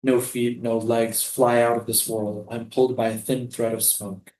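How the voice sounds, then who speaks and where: Male prisoner, played by a man at a stage reading: dreamy, poetic, defiant refugee, medium pitch, roomy echo. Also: flat delivery, moderate pace, a little mellow, a little hiss or hum, close to an everyday voice. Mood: wistful.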